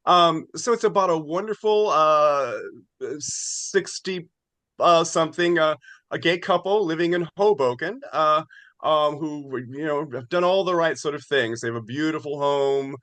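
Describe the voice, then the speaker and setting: A man in his sixties talking casually as an interviewed playwright, telling things in a wordy, halting way. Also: fairly high pitch, a bit bright, no echo.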